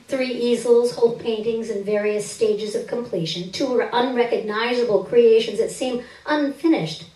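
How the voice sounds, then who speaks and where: A woman reads a literary story aloud on stage in an expressive, emphatic first-person narrating style. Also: mid-range voice. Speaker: story reader. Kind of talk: dramatic literary reading aloud. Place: live reading at a stage event, indoors. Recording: roomy echo, distant microphone.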